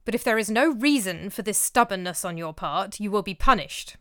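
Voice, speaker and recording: A woman's voice: medium pitch, animated, a little fast, dry recording, clean studio sound, natural voice. A woman voicing a stern young Norse chieftain man who speaks in clipped commands. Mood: commanding.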